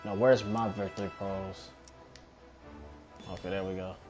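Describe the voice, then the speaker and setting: Low-voiced man commentating his gaming. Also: flat delivery, measured pace, darkish tone. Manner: muttering to himself, sweary, offhand. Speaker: gamer commentating own playthrough. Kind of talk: casual gameplay commentary. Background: video game music and sounds.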